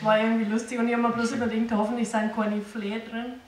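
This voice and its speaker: A woman's voice: fairly high pitch, little pitch movement, fast, distant mic, crowd noise. Bavarian woman, off mic in an echoey hall. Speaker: stage performer. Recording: echoey room, a bit off mic.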